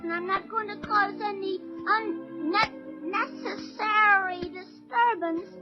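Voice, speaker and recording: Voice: extremely high pitch, takes it slowly. A performer playing an earnest, brave young child in a careful 1940s radio drama style. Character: earnest young child, brave, dutiful toward little sister. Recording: muffled old radio recording, music behind.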